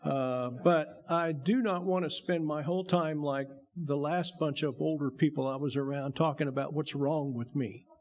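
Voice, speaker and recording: Older man with a gravelly voice. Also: on the high side, fairly even intonation, moderate pace, few pauses, darkish tone, muffled recording. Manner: folksy, joking, encouraging. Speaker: bishop giving testimony. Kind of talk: church testimony talk. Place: church sanctuary.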